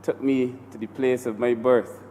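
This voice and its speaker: Barbadian man, MP, measured and formal, mid-pitched voice, long hall reverb. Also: level tone, warm tone, slight background noise. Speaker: member of parliament. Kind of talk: formal parliamentary address. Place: parliament chamber.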